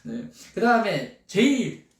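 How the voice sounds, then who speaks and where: A man's voice: sits high, big pitch swings, takes it slowly, distant mic. A young man with a regional Korean dialect, chatting playfully and laughing often during a casual livestream. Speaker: idol group member on a livestream. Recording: echoey room, off-mic, faint noise.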